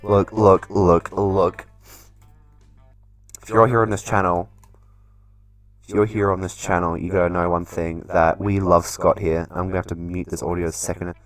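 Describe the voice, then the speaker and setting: A man's voice: deep voice, flat delivery, normal speed, long pauses, dry recording, soft background music. A man doing casual, chatty let's play commentary in a playful way, reading game text aloud as he goes. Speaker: gaming channel host.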